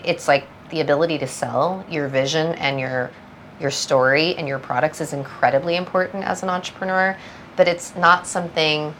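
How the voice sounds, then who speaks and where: A woman's voice: low-pitched, fairly bright tone, slight background noise. A woman from Southern California, a guest brand founder, talking reflectively in a casual podcast interview and thinking aloud.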